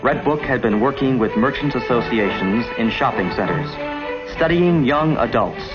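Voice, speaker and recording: A man's voice: medium pitch, animated, normal speed. American man narrating a dull-sounding 1950s film. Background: background music.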